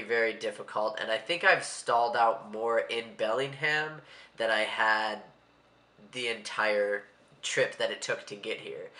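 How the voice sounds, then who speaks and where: Stuffy-nosed young man, bright voice. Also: mid-range voice, roomy sound. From Maryland, USA. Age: young adult. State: stuffy, congested. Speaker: vlogger. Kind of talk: casual vlog monologue.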